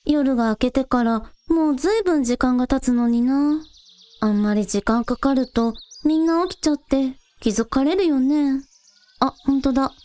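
A woman's voice: sits high, normal speed, dark tone, lightly acted. A woman playing an earnest young village girl, a younger sister, in a radio drama. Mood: concerned. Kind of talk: Japanese radio drama acting. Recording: echoey reverb, music underneath.

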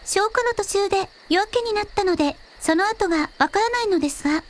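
A woman portrays a young shrine maiden in a radio drama with an extremely high, gentle voice. She speaks humbly and politely, with a shy, caring air, over faint noise. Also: animated, brisk. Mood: concerned, relieved. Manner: soft-spoken, humble, polite. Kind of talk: Japanese audio drama dialogue.

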